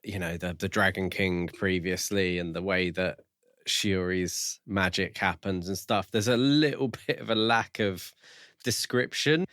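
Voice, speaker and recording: A man co-hosting a podcast, thinking aloud in a measured, tentative way during a casual book discussion. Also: low-pitched, a bit bright, dry recording, studio quality. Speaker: podcast co-host reviewing books.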